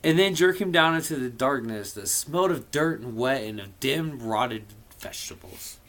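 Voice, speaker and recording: A man's voice: medium pitch, animated, normal speed, a bit bright. A man casually reading a novel aloud, theatrical and playful, dropping in irreverent asides of his own. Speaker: amateur reader of a novel.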